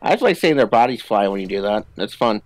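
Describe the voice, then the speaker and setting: A man's voice: on the low side, sing-song, quick pace. A man chatting casually as he plays a co-op video game, with playful, jokey asides. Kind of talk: casual co-op gameplay chat. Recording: game gunfire under the voice. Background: video game gunfire and ambience.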